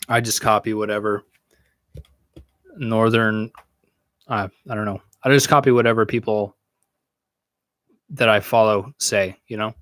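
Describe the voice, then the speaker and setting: A man's voice: on the low side, a bit bright, dry recording. A young American man hosting a podcast livestream, chatting casually with viewers in a crude, joking way and swearing a lot, recorded in a small room on a stand microphone. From United States. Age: young adult. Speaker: podcast host answering chat. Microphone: studio mic on a stand in front.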